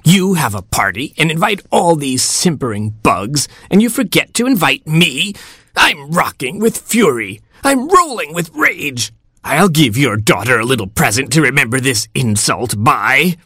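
A man doing Old Dame Hornet, shrill and wicked. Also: sing-song, unhurried, bright, forceful tone, breathy edge, no echo, studio quality, exaggerated voice. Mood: raging. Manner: shrill, hissing and cackling. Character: wicked ancient female hornet fairy, spiteful.

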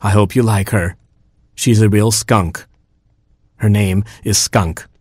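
Man playing a meek, low-voiced papa beaver. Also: steady tone, slow, darkish tone, breathy edge, dry recording, studio quality. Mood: resigned. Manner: mild, resigned. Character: gentle, meek, apologetic beaver father, defeated.

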